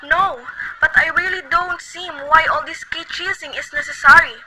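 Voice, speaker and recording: A woman playing a demanding, panicked invalid woman in an amateur radio play cover, her voice shrill. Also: steady tone, normal speed, heavy background noise. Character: frantic bedridden wealthy woman.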